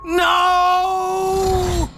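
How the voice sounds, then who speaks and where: Man as anguished high-voiced teenage boy. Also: completely flat delivery, extremely slow, shouting, over loud music, heavily acted. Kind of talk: dramatic horror script reading.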